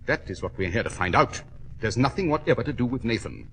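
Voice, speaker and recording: An actor playing a shrewd, secretive English detective in an old-time radio drama, clipped and authoritative. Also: male voice, mid-range voice, expressive, fast talker, a bit bright. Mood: tense, explaining.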